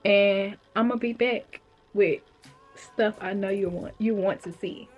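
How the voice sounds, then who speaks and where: Young woman vlogger, mid-pitched, relaxed and slangy, faint music under her. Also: measured pace. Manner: candid, slangy, laid-back storytelling. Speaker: vlogger and hair stylist. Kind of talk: casual talking-to-camera vlog.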